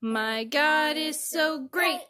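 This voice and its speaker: High bright woman leading kids' songs. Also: drawn-out words, a little hiss or hum. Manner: encouraging, cheerful song leading. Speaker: children's worship leader. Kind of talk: leading children's action songs.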